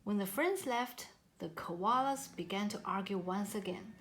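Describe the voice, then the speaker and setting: A woman narrating a children's storybook aloud in an expressive, deliberate read-aloud style. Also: mid-range voice, slight background noise. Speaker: story reader.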